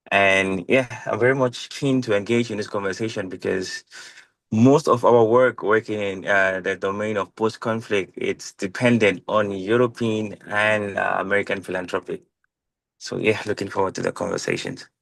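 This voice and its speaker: Cameroonian-born guy, gravelly low voice, dry sound, friendly panel intro. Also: fairly bright tone, no room sound. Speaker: panelist, post-conflict researcher.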